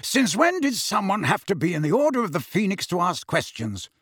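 Blunt, challenging adult male storybook godfather, done by a male reader; expressive range, dry studio sound. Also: normal speed, husky, no room sound, full character voice. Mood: indignant. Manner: low-key, blunt. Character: frank, challenging grown man, a godfather.

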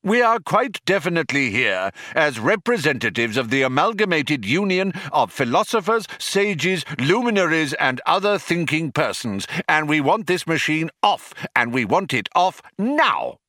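A man's voice: a little high, sing-song, normal speed, hardly any pauses, pushed and bright, no room sound, clean studio sound, pitched above the performer's own voice. A man voicing an angry, pedantic older philosopher, indignant and argumentative like a stroppy union man.

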